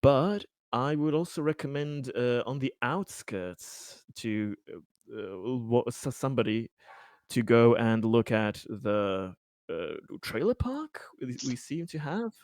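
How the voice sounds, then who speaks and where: A man playing a tabletop roleplaying game, improvising his character's actions in a halting way, searching for words. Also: mid-range voice, animated, darkish tone, dry recording, clean studio sound.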